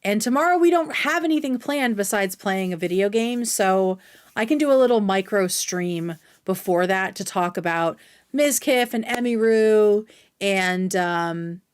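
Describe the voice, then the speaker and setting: A woman's voice: mid-range voice, no room sound, studio quality. A woman hosting a livestream, chatting casually and informally with viewers, with some swearing. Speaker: livestream host. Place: indoors at home.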